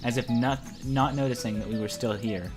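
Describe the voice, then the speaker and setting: A man's voice: mid-range voice, normal speed. Young man narrating with music behind. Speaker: narrator in a group let's play. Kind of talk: reading visual novel narration aloud, joking with friends.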